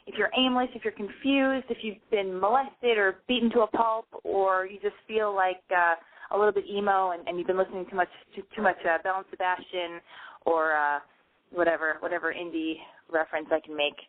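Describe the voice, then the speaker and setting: A woman's voice: mid-range voice, fairly quick. Woman host with a lisp, rambling and self-deprecating, tinny phone-line audio. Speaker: radio show host. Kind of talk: casual call-in show monologue.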